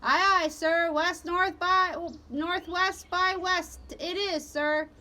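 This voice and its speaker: A woman voicing a dutiful male helmsman in a sea story, echoing orders in drawn-out, obedient replies. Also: very high voice, fairly even intonation, pushed and bright, voice pushed up, heavily acted.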